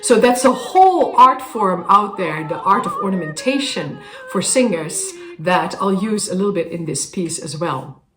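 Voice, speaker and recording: Dutch-accented woman, a singer, talks through her craft with care; mid-pitched, roomy echo. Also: animated, normal speed, a little hiss or hum. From Netherlands. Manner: thoughtful, explanatory, with soft fillers. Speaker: professional singer. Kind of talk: casual explanation to camera. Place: room with a harpsichord, indoors.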